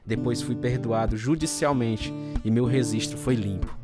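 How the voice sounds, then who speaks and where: A man's voice: medium pitch, steady tone, moderate pace, close to an everyday voice. An actor playing an earnest, repentant former alcoholic telling his life story in a Brazilian radio drama. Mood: grateful. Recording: loud guitar music under the voice.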